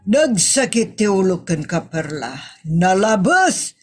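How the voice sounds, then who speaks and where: A woman playing a demanding, exasperated lady of the house in a comedy audio drama, scolding deliberately with an Ilocano Filipino accent. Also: medium pitch, big pitch swings, breathy edge, soft background music, heavily acted.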